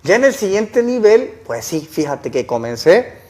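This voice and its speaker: Young man, guest, earnest storyteller, raised pitch. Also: sing-song, measured pace, warm tone. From El Salvador. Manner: earnest, explains with rhetorical questions. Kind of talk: casual interview storytelling.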